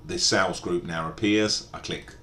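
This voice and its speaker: A man narrating an informal step-by-step software tutorial in a methodical, explanatory way. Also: fairly even intonation, moderate pace. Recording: echoey room, background hiss and rumble.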